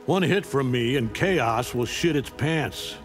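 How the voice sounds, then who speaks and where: A man's voice: medium pitch, lively intonation, takes it slowly, over loud music. Cocky tough-guy fighter. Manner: swaggering, casual.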